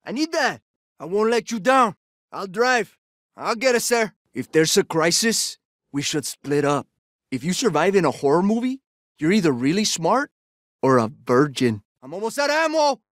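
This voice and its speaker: A man's voice: high-pitched, very animated, normal speed, fairly bright tone, no room sound, studio quality. A man playing a cocky, wisecracking soldier in a video game, delivering short, punchy combat callouts. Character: cocky, jokey male soldier, sporty ex-jock type. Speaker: video game operator character.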